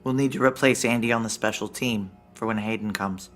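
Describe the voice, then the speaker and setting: A woman's voice: extremely low pitch, steady tone, a little fast. Tough tired security boss lady, clipped noir audio drama delivery, music underneath. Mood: grim, subdued. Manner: terse, clipped phrasing. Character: Dak, tough weary female security chief narrating a noir sci-fi thriller. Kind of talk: first-person audio drama narration and dialogue.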